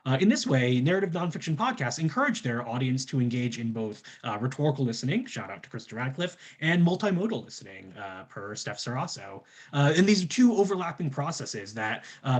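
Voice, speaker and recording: A man presenting scholarly research from a script, in formal academic wording with citations. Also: medium pitch.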